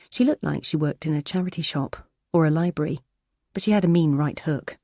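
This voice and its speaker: A woman reading a first-person prison thriller audiobook in a measured, steady way. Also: sits low, dry recording, clean studio sound. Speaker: audiobook narrator.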